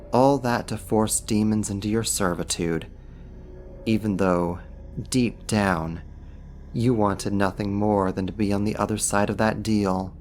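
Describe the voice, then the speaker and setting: A man's voice: fairly low pitch, slight background noise, close to an everyday voice. A man playing a smug, possessive archdemon in an ASMR roleplay, teasing and coaxing a human in an intimate, amused manner. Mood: mocking amusement. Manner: teasing, smug, deliberate delivery. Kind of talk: ASMR roleplay acting, intimate second-person monologue.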